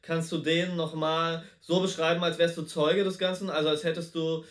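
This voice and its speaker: Interviewer: man, lighter and higher in pitch, informal questioning. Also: little pitch movement, moderate pace.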